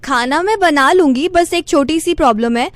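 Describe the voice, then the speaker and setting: Very high-pitched, sarcastic young woman. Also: normal speed, very noisy. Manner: sarcastic, assertive. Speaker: prank participant scolding a suitor. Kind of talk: pointed phone retort. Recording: music laid under the voice. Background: music.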